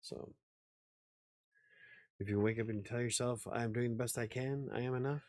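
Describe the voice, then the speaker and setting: A man hosting a casual after-hours chat show, rambling, laughing often and swearing now and then, with a slightly raspy voice. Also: mid-range voice, steady tone, normal speed, a little mellow, no room sound, clean studio sound. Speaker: host.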